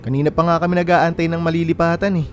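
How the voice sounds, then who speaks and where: A man's voice: on the high side, level tone, fast talker, soft background music, lightly acted. A man with a Filipino accent playing a friendly, candid young bachelor in casual radio drama dialogue. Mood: friendly, eager. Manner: casual, conversational.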